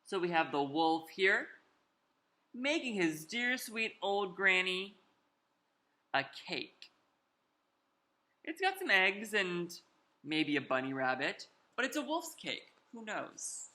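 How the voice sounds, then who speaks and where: A man reading aloud to children as a drag queen storyteller, pausing to explain the pictures in an unhurried way. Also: high-pitched, very animated, sharp tone.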